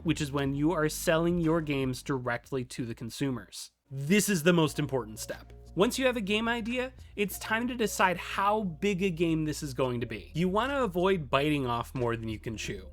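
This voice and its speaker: A man's voice: a little high, animated, normal speed, few pauses. A man narrating a scripted explainer as a tutorial host, with playful, emphatic asides. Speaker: host of a game development tutorial. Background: background music.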